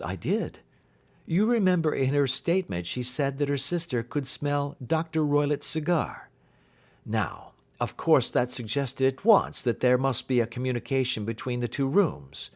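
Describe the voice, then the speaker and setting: A man voicing a keen, assured detective in an audiobook, explaining things precisely and deliberately. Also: medium pitch, pitched above the performer's own voice, close to an everyday voice. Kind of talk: audiobook character dialogue.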